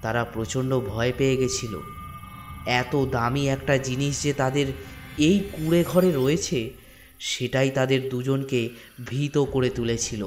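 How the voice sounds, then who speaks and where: A man narrating a scripted folk ghost story in measured, short phrases. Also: mid-range voice, soft background music.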